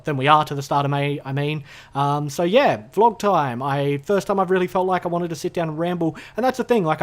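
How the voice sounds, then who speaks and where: A man vlogging from his room, rambling casually to the camera with lots of fillers and self-corrections, his voice a little hoarse from being sick. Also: on the high side. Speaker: gaming YouTuber. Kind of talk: unscripted casual vlog ramble. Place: his own room, indoors. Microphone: talking to a camera.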